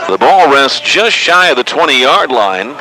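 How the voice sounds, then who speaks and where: A man's voice: mid-range voice, very animated, unhurried, crowd noise. American man calling football over background noise. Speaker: radio play-by-play announcer. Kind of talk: live American football commentary. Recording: noisy outdoor stadium ambience.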